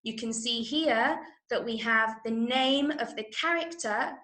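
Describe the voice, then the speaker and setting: A woman with an English accent leads an online drama lesson for young pupils. Her voice sits fairly high and she speaks very slowly, stressing key words and checking that listeners follow. The room adds a light echo. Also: pushed and bright. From Manchester, England. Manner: clear, instructive, emphatic, checking understanding often. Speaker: drama workshop teacher. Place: indoors, online video call.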